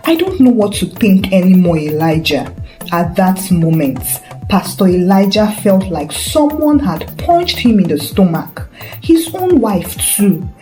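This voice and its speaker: A woman's voice: mid-range voice, mellow. A woman narrating a dramatic scripted story as a storyteller. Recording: roomy echo with faint music. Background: faint background music.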